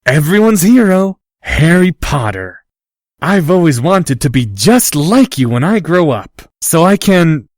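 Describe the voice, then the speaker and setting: A man's voice: a little high, big pitch swings, measured pace, darkish tone, no room sound, studio quality, voice pushed up. A man doing a snobbish, haughty young boy. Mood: fawning. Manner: lofty, condescending.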